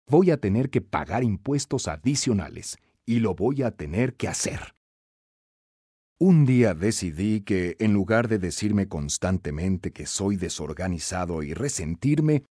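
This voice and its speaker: A man narrating a scripted self-help audiobook in an emphatic, instructive, expressive way. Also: fairly low pitch, slightly rough, dry recording, clean studio sound.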